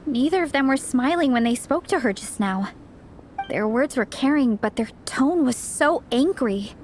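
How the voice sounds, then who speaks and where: A woman's voice: high-pitched, slight background noise. An actress playing an earnest, caring young athlete who captains her team in a video game. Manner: earnest, troubled, plain-spoken.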